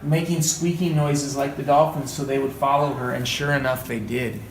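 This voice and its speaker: A man reading a story aloud as a teacher, with dramatic, deliberate phrasing and questioning asides. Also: mid-range voice, fairly even intonation. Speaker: read-aloud teacher and trainer. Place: indoor room, training session. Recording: echoey room, distant mic, background noise.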